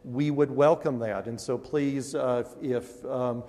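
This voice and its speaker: A man's voice: medium pitch, fairly even intonation, a little slow, dark tone. Upbeat man speaking in a reverberant hall. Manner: earnest, upbeat, frequent fillers and restarts. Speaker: university administrator giving welcoming remarks. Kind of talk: conference welcome speech. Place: large dark auditorium stage.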